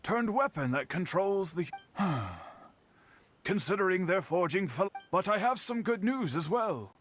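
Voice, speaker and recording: An actor playing a warm-hearted, expressive townsman in an anime-style game. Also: high-pitched, slow, faint music behind, exaggerated voice. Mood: relieved.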